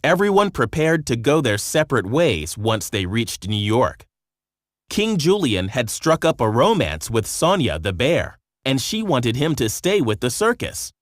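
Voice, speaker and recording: A man narrating a scripted children's storybook in an expressive, measured storytelling manner. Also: mid-range voice, no echo, clean studio sound.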